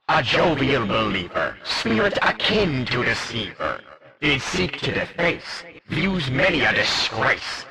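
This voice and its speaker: A man's voice: on the low side, vocal fry, full character voice, poor audio. A man voicing a jesty, centuries-old skeleton merchant for a game, speaking in theatrical mock-archaic language. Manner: theatrical, archaic, exclamatory, riddling. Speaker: character voice for a game.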